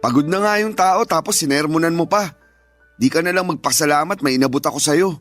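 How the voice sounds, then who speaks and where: Man acting an irritable, higher-voiced Filipino husband. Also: fairly quick, fairly bright tone, dry recording, close to an everyday voice. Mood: irritated. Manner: exasperated, dismissive, defensive. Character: irritable, evasive, dismissive husband, tired working man. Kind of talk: Filipino radio drama acting. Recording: music playing behind the voice.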